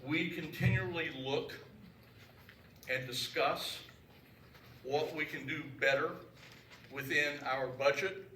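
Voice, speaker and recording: A man reporting as a church trustee at a council meeting, loud and joking, talking freely off his notes into a handheld mic. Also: on the high side, fairly even intonation, takes it slowly, long pauses. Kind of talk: informal talk at a meeting. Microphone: handheld microphone. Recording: echoey room, mic far off, background noise.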